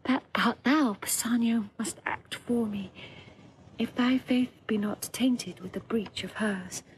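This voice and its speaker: High-voiced, impassioned princess. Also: female voice, slow pace, a little hiss or hum, rough recording. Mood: shaken, hushed reading. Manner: impassioned, declamatory. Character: anguished, proud young princess, wronged wife. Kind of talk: classical Shakespearean audio drama acting.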